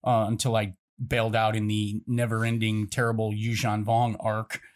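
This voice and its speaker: A man's voice: on the low side, completely flat delivery, moderate pace. A man co-hosting a podcast in casual chat, wry and wordy. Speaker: podcast co-host.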